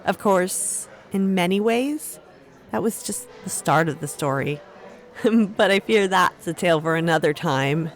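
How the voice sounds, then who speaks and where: A woman's voice: on the low side, expressive, unhurried, soft background music. A woman acting a brave, defiant young runaway turned gang member, spirited and determined, in a fantasy audio drama. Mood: storytelling.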